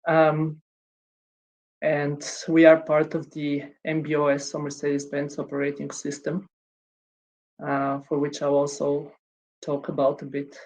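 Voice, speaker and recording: A woman gives a conference talk. Her pitch stays flat and level, and she often pauses on "um" and starts sentences over. Her delivery sounds measured and unhurried. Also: low-quality recording. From Bulgaria. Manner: hesitant, many ums and restarts. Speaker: conference speaker.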